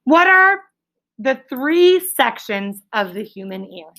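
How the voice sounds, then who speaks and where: A woman's voice: high-pitched, sing-song, slow, sharp tone. A woman teaching a class as a schoolteacher, explaining in simple phrases and prompting pupils with leading questions in an encouraging way.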